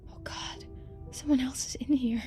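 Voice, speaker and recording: A woman's voice: sits high, steady tone, moderate pace, mellow, whispered, faint music behind, voice pushed up, lightly acted. A woman voicing a shaken young woman in a horror game, anxious and hesitant. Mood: frightened. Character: shaken young woman exploring a creepy house with camera.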